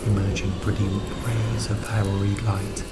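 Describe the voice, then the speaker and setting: A man reading his own literary piece aloud as a solemn, measured dramatic recitation. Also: fairly low pitch, level tone, over loud music.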